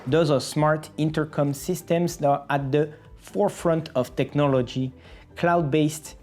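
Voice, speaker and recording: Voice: medium pitch, fairly even intonation, unhurried, a little mellow, faint music behind. A company presenter explaining a product step by step in a video explainer style. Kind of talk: scripted-sounding product explainer.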